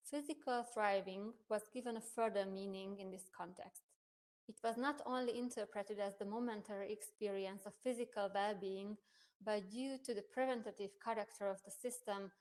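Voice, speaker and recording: A young woman whose first language is Hungarian, a research associate presenting a prerecorded conference paper in a measured, careful academic manner. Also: medium pitch, darkish tone. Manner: measured, careful academic delivery with occasional restarts.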